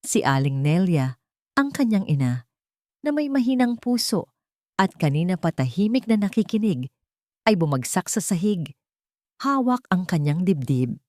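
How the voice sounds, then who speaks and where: Low-pitched woman narrating a dramatic story. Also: a little mellow, no room sound, studio quality. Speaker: audiobook narrator.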